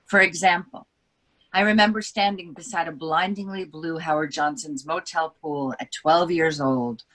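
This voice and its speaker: A woman's voice: deep voice, fairly bright tone, poor audio. A woman reading as a brooding young wife. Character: troubled young wife, reflective. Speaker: book editor. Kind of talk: literary reading.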